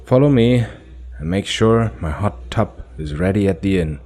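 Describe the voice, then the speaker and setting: Man voicing a brash, mocking scavenger woman, pitch lifted. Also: moderate pace. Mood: teasing, commanding. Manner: flat, businesslike, casually teasing.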